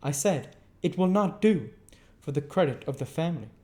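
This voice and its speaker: A man's voice: fairly high pitch, animated, normal speed, a little mellow, pitched above the performer's own voice, natural voice. A man voicing a self-pitying, indignant older society woman in an audiobook, speaking abruptly and plaintively. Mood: self-righteous indignation.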